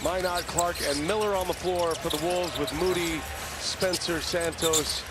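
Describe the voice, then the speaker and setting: A man's voice: on the high side, steady tone, a little slow. Male play-by-play announcer amid heavy arena noise. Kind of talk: live game commentary. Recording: very noisy relayed game broadcast. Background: loud arena ambience, bouncing ball.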